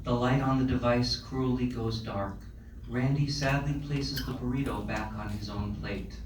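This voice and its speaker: Distant and echoey over background noise, a man narrates a screenplay's stage directions. His voice sits a little high as he reads the script aloud. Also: steady tone, moderate pace. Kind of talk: scripted narration at a live table read.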